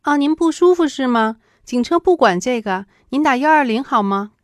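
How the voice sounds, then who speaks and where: A woman's voice: high voice, lively intonation, moderate pace, no room sound. A woman acting a polite, matter-of-fact emergency call operator in a radio drama. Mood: polite, businesslike. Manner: polite, procedural.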